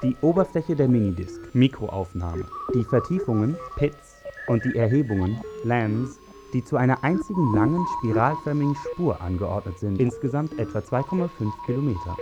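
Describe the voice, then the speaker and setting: A man's voice: mid-range voice, expressive, moderate pace, close to an everyday voice. A man acting as a factual technical narrator in a scripted radio drama. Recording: dull muffled sound under music.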